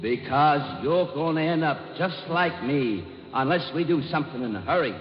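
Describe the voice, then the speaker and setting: A man's voice: fairly high pitch, expressive, moderate pace. A man voicing a wry, slangy ghost of a dead businessman with an American accent, in 1950s radio drama style with ghostly reverb. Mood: gravely warning. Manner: slangy, tough-guy phrasing with theatrical moans. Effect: ghostly echo reverb. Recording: old muffled radio broadcast, reverberant voice.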